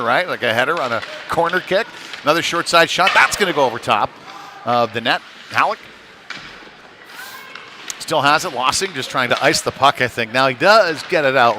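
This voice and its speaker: Man calling live hockey, noisy venue. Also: mid-range voice. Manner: conversational, informal, tag questions. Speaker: ice hockey play-by-play commentator. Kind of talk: live sports commentary. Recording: clearly noisy venue ambience.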